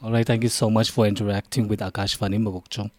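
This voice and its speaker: Polite radio host, dry sound. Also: male voice, fairly low pitch, flat delivery, quick pace, no room sound. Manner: formal, polite, addresses listeners directly. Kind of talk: live radio interview.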